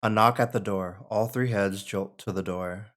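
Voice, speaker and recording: A man's voice: lowish voice, fairly even intonation, moderate pace. A man reading out the stage directions and narration at a screenplay table read.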